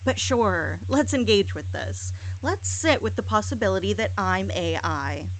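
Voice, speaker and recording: A woman delivering a scripted monologue in a sarcastic, deliberate manner, with repetitive phrasing. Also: medium pitch, lively intonation, rough recording. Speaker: hypnosis audio performer. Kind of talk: scripted monologue, mock apology.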